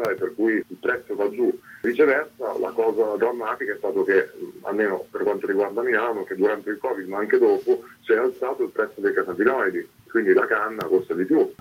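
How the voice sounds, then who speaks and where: A man's voice: low-pitched, breathy edge. A man being interviewed, explaining things informally in a discursive, analytical manner. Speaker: interviewed author. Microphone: phone call. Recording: muffled narrowband telephone line.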